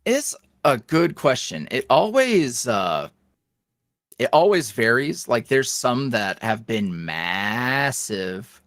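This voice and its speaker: A man's voice: mid-range voice, sing-song, takes it slowly, a bit bright, no echo, studio quality. A young man talking casually as a podcast guest, rambling with lots of fillers and restarts. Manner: rambling, filler-heavy, self-reflective. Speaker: podcast guest, roleplay creator. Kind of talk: casual interview chat.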